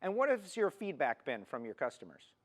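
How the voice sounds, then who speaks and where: A man's voice: on the high side, wildly swooping pitch, fairly quick. Enthusiastic male car show host. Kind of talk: upbeat automotive show presenting and interviewing.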